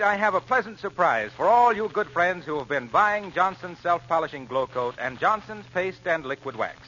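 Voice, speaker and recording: A man announcing a polished sales pitch in the manner of 1940s radio advertising. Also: pushed and bright. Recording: muffled, faintly noisy vintage radio recording.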